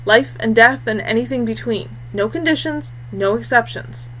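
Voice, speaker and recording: A woman's voice: fairly high pitch, normal speed, pushed and bright, a little hiss or hum, low-quality recording. A woman voicing a loyal, stubborn young male warrior in a fantasy story, speaking earnestly and emphatically. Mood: insistent.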